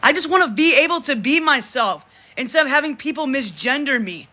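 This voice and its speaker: Vlogger in their 30s, self-described deep voice, forceful and emphatic, lots of restarts. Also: expressive, a little fast. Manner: emphatic, repeats and restarts phrases. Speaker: vlogger speaking to supporters. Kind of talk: casual monologue to camera.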